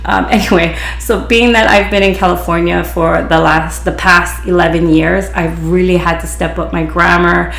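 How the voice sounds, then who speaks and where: A woman's voice: lowish voice, steady tone, moderate pace, vocal fry, hardly any pauses, fairly bright tone, reverberant. A woman from Hawaii with a Pidgin-influenced accent, hosting a podcast and telling a story in a chatty, rambling way full of restarts and "you know". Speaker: podcast host, beautician. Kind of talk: casual storytelling.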